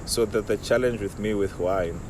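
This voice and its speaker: A young adult man from Zimbabwe, a winemaker talking in a relaxed, reflective way as an interview guest outdoors. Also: fairly low pitch, normal speed. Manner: relaxed, reflective, frequent affirming "yeah". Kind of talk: casual interview chat. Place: outdoors under a large tree. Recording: outdoor recording with steady background noise.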